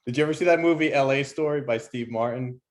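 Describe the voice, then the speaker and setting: A man's voice: mid-range voice, quick pace. A man hosting an interview, asking long, rambling questions with many restarts. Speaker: interview host.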